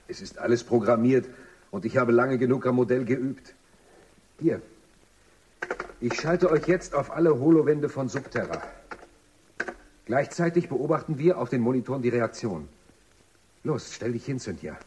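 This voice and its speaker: An actor playing a keyed-up, conspiratorial rebel technician giving instructions in a German radio drama. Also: male voice, mid-range voice, expressive, moderate pace, long pauses, a little mellow, slight background noise, lightly acted. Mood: eager, focused. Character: eager male rebel technician, excited and conspiratorial.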